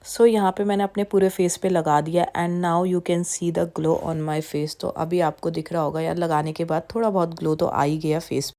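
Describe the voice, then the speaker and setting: A young woman from India, hoarse with a heavy voice from a sore throat, chatting her way step by step through a skincare routine at home. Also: on the low side, fairly even intonation, moderate pace, hardly any pauses, mellow. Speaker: beauty vlogger. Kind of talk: casual skincare tutorial chat. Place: indoors at home.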